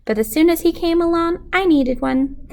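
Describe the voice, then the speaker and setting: A woman reading a picture book aloud to children in an animated way, pausing to put questions to young listeners. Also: very high voice, gravelly. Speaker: children's story reader.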